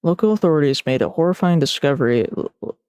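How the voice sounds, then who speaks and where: A man's voice: fairly high pitch, lively intonation, quick pace, a little mellow, clean tone, no room sound. A man hosting a reaction video, giving casual, slangy commentary and muttered asides.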